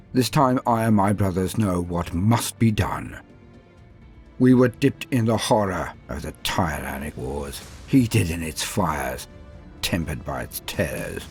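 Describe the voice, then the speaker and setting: A man playing a proud, coldly resolute veteran space marine captain in a solemn, measured sci-fi war monologue with dramatic pauses. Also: low-pitched. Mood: grim, resolute.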